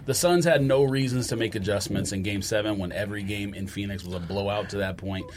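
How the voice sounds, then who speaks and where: A man's voice: lowish voice, fairly quick, a little hiss or hum. A man on a podcast panel, giving blunt, clipped opinions in casual sports talk.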